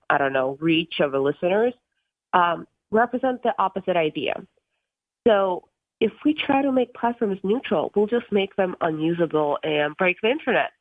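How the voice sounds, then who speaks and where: A young woman from Russia in her twenties speaks earnestly as a radio guest, her voice sitting a little low and often pausing on ums and uhs. She comes through a tinny phone line with a dry, close sound. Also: dry recording. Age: 20s. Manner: earnest, many ums and uhs, occasional laughs. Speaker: guest from a tech policy group.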